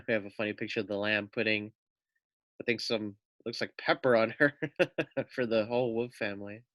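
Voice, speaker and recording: A man's voice: on the low side, fairly even intonation, normal speed, fairly bright tone, dry recording, studio quality. A man reading a children's story aloud expressively, with simple explanatory asides for young listeners.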